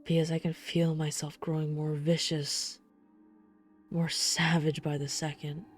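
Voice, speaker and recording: A woman playing a tormented, guilt-ridden vampire hunter in an intimate ASMR audio drama, halting with dramatic pauses. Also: deep voice, flat delivery, soft background music. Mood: anguished, menacing. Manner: slow, halting, dramatic pauses, breathy sighs.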